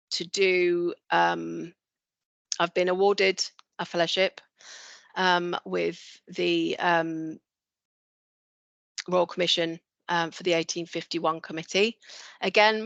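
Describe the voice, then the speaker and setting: A woman's voice: lowish voice, creaky, fairly bright tone, smooth, no echo. A woman sharing her career route in an online talk, hesitant and full of fillers. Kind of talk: online presentation. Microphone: video call. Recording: dry, lo-fi video call audio.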